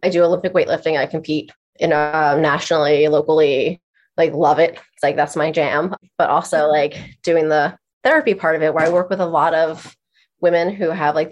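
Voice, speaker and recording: A woman's voice: deep voice, moderate pace. A congested woman guest telling her own story informally, saying "like" a lot and quoting her own thoughts. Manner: chatty, informal, heavy use of "like", quotes her own thoughts. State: stuffy, congested. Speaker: podcast guest, therapist and trainer. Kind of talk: casual personal storytelling.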